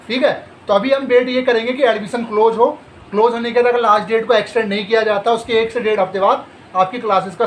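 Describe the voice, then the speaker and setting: A man with a high-pitched voice talks straight to students as the host of an education channel. He asks himself questions and answers them in a reassuring way. A faint background noise sits under the recording. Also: a bit bright, rough recording. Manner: direct, reassuring, rhetorical questions. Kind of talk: explainer talk to students.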